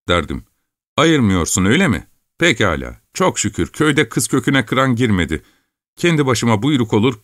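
A man's voice: a bit bright, no echo, lightly acted. A sturdy, naive young peasant lad, as a male reader puts him on talks in a raised, whining voice that keeps rising in complaint. The sound is close and dry. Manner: whiny, plaintive, rising pitch.

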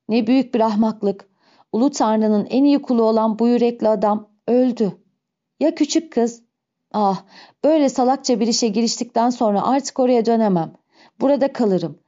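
A shrewd old gardener who schemes, then turns to anguished muttering with sudden raised cries, as a woman reads him aloud. The tone is mellow and warm. The recording sounds a little dull. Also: medium pitch, close to an everyday voice.

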